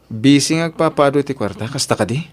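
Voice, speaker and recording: A man's voice: mid-range voice, fairly quick, close to an everyday voice. Male actor as earnest young working man, Ilocano accent, reserved, mid-range voice, radio drama delivery. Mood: doubtful, reproachful.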